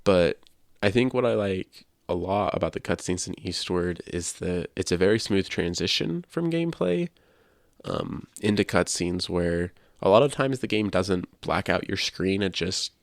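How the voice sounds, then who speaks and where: A man's voice: deep voice, moderate pace, gravelly, no echo, studio quality. A man hosting a podcast, sharing his opinions in casual discussion. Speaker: podcast host.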